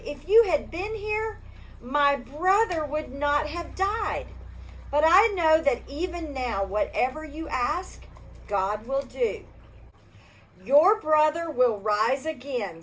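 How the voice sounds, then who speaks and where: An older woman reading a Bible story to children in a gentle storybook manner, stopping to ask the kids questions. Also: high voice, a little hiss or hum, low-quality recording.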